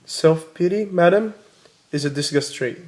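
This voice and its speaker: An actor playing a fond, self-satisfied middle-aged husband in a radio play, with a mock-pompous, teasing manner. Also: male voice, on the high side, measured pace, a little mellow, natural voice.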